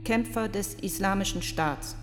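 A woman's voice: medium pitch, a little slow, natural voice. A woman playing a defiant young male defendant in a radio play, answering in terse, unyielding statements. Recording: long hall reverb under clear music.

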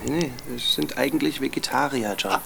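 Guarded husband, noisy surroundings. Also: male voice, medium pitch, a little fast, creaky, pushed and bright. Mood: matter-of-fact. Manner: terse, matter-of-fact. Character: guarded, defensive husband, pedantic about nature. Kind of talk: naturalistic German radio drama acting.

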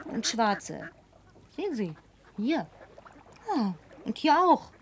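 A woman's voice: on the high side, very animated, takes it slowly, a little hiss or hum. An actress dubbing a spoiled, lonely young city woman who talks in a playful, coaxing, teasing way. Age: young adult. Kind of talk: German film dub.

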